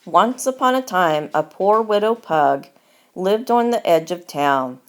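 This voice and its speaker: A woman reading a children's story aloud as its author, in deliberate, expressive scripted narration. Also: on the low side.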